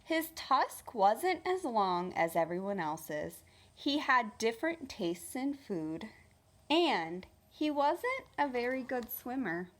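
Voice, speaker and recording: A woman reading a children's storybook aloud like a teacher, in an expressive, deliberate storytelling manner. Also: on the high side.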